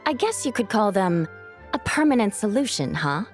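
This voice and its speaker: A woman acts out a young female knight captain from a video game, composed and proud. She speaks in measured, formal phrases at a medium pitch, with a dry, wry edge. Music plays behind her voice. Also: big pitch swings, lightly acted. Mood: assured, wry. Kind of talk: video game character dialogue. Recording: studio voice over game music.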